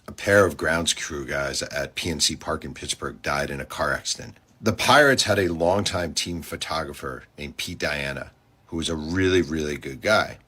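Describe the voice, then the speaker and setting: A man's voice: rumbling low, moderate pace, bright, forceful tone, breathy edge. An American man, a sportswriter, telling an anecdote in a casual, direct storytelling style. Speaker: sportswriter and biographer.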